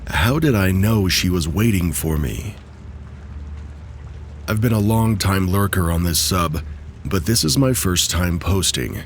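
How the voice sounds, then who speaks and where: A man narrating submitted true spooky stories in a measured, scripted way. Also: low-pitched, a little hiss or hum.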